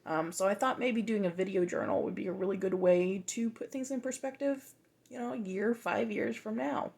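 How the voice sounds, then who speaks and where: A woman of twenty-five, talking in a reflective, hesitant way with many pauses and restarts for a personal video journal. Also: medium pitch, gravelly, a little mellow, husky.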